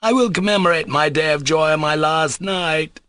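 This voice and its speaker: A man reading an audiobook voices a wild, overwrought young man who exclaims and pleads, sometimes drawing words out slowly. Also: fairly high pitch, bright, forceful tone, no room sound, voice pushed up, heavily acted. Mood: exclaiming. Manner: frantic, exclaiming, slowed pleading stretches.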